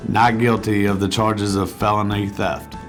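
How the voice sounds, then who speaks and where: A man playing a solemn jury foreperson in an animated comedy, reading formally in a monotone. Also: lowish voice, a little slow, music in the background, lightly acted.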